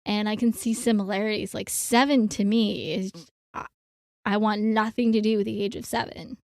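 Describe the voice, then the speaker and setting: A woman's voice: mid-range voice, steady tone, moderate pace, creaky, a little mellow, no room sound. Woman in her thirties, casual co-host, talks in loose run-on stretches, close dry mic. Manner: chatty, rambling with many fillers. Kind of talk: casual chat.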